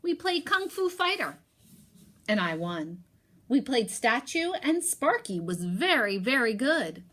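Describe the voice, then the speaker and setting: A woman teacher reading a picture book aloud and talking to young pupils, expressive, with emphatic pauses. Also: fairly high pitch.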